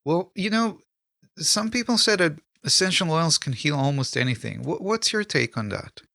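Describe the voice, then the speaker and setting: A man with a bright, forward voice and a gravelly creak interviews a guest as podcast host. His pitch is a bit high and his delivery is conversational. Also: lively intonation, moderate pace, no room sound, clean studio sound.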